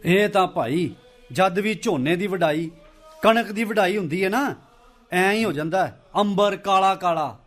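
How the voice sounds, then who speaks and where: A wary, questioning Punjabi villager in a radio drama. His voice is high for a man, and his talk is colloquial and cautious. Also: unhurried, a bit bright, faint music behind. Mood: hesitant. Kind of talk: radio play acting.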